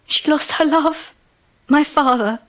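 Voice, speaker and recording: An actress playing an adoring, soft-hearted young woman on a date in an intimate audio drama, with a hushed, gushing, coaxing manner. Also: high voice, takes it slowly. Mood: concerned, tender. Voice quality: breathy, hushed.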